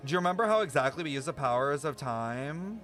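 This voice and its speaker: A young man streaming a game reaction, thinking out loud with excited speculation and casual swearing. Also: on the high side, fast talker, faint music behind.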